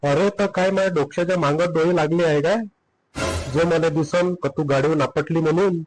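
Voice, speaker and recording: A grumbling husband from a comedy cartoon, played by a man, somewhat high in pitch. He is blunt, irritable and sarcastic, speaking Vidarbha Marathi. There is noise in the recording. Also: steady tone, brisk, a little mellow, lightly acted, low-quality recording. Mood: irritated, rude.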